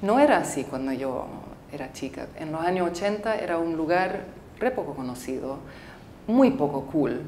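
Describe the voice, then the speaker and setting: Low-voiced woman filmmaker in a roomy studio. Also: animated, darkish tone, slight background noise. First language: English. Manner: thoughtful, halting, with frequent fillers and restarts. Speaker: filmmaker interviewee. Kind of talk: casual interview answers.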